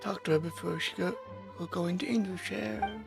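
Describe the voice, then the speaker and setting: A woman's voice: on the low side, steady tone, fairly quick, vocal fry, over music. A woman giving casual, off-the-cuff commentary while playing through a video game. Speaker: game streamer.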